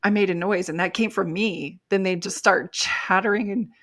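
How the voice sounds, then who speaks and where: A woman's voice: medium pitch, fairly even intonation, normal speed, a bit bright. An older woman, a life coach, telling a personal story on a podcast in an earnest, reflective way. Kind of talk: podcast interview.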